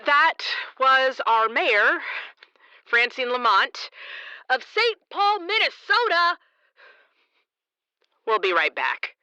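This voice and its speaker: A woman's voice: high voice, sing-song, takes it slowly, pauses often, sharp tone, smooth, no room sound, exaggerated voice, low-quality recording. A woman playing a troubled, self-doubting young radio interviewer in a naturalistic audio drama. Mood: flustered. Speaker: radio reporter.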